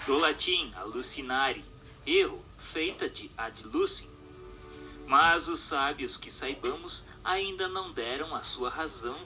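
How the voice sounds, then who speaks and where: Male narrator. Formal, declamatory scripted read with a bright, forceful tone over background music. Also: a little high, low-quality recording. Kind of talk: scripted audiobook-style narration of a text.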